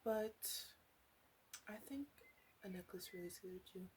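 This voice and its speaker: Casting: woman as a blunt, flirtatious witch for ASMR roleplay; unhurried, soft, whisper-prone delivery, faint background noise. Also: medium pitch, natural voice. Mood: teasing, candid. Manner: soft-spoken, hesitant, often dropping into whispers.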